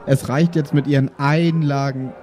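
A man's voice: medium pitch, steady tone, moderate pace, a little mellow, close to an everyday voice. A man playing a polite but firm, weary father in an improvised tabletop audio play. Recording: chattering crowd audible behind.

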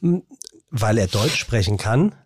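A man's voice: fairly low pitch, big pitch swings, unhurried, slightly rough, no room sound, studio quality. A man talking casually as a podcast interviewer, phrasing his thoughts in a hesitant, roundabout way. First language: German.

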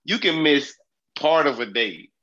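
A man hosting a casual sports podcast, talking fast and loose with profane trash talk, sounding congested from a cold. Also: a little high, lively intonation, fairly bright tone, lo-fi sound. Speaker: podcast host.

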